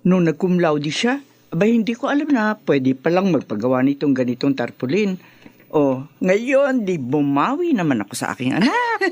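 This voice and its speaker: A woman's voice: on the low side, very animated, normal speed, darkish tone, dry recording, lo-fi sound. An actress playing a bubbly, doting, boastful middle-aged mother in a Filipino radio drama, chatty and teasing, with a Filipino accent. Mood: proud, chatty.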